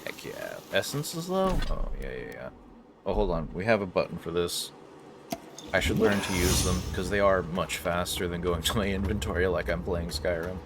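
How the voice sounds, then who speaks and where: Male gameplay host, deep and animated, joking casually as he plays. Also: moderate pace. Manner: playful, jokey asides to game characters. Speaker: gaming host playing through a game. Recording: clearly noisy recording with background ambience. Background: game ambience and action sounds.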